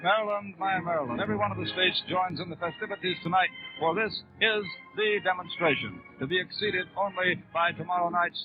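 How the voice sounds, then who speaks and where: Man, high voice, formal 1930s live radio commentary, tinny narrow old recording, band music behind. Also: fairly quick, hardly any pauses. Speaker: radio convention announcer. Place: large noisy convention hall. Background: band music and demonstration noise.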